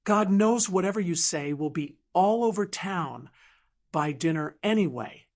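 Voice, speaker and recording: A woman acts out a stubborn small-town woman in her sixties for an audiobook drama. She is blunt and sardonic, with old hurt under lively, swinging pitch. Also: measured pace. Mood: defiant. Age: 60s.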